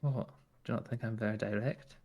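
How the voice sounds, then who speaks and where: A man's voice: on the low side, steady tone, a little fast, darkish tone, dull audio, slight background noise. A man with a Scottish accent talking in character during a roleplay, flirtatious and teasing. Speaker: roleplay player.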